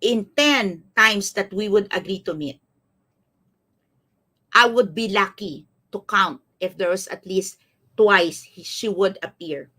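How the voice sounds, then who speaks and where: A woman in her fifties speaks with a Filipino accent at a middling pitch. She is a life coach hosting a live online talk, repeating key phrases and regularly checking in with her listeners. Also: takes it slowly, sharp tone. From Philippines. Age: 50s.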